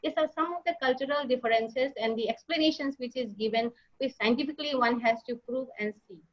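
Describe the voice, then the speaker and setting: A woman giving a slide presentation as a nurse leader, halting often with fillers and restarts. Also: high voice. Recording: dull lo-fi online audio.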